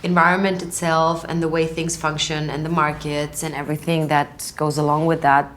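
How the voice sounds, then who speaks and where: A woman's voice: sits low, normal speed, slight background noise. A young woman from Serbia, co-founder of a fashion label, talking casually and hesitantly with many fillers. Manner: relaxed, hesitant with frequent fillers. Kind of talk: casual conversational interview.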